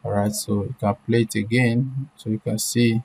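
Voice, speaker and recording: A woman presenting an informal screen-share software tutorial, repeating herself and often checking that listeners are following. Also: very deep voice, steady tone, moderate pace, breathy edge, low-quality recording.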